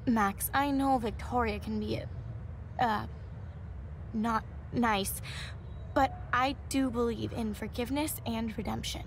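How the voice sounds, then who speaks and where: A woman acting a gentle, devout young student in a video game, fragile but hopeful, earnest and sincere. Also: a little high, takes it slowly, a little hiss or hum. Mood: earnest, reflective. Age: young adult. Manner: soft-hearted, earnest, sincere.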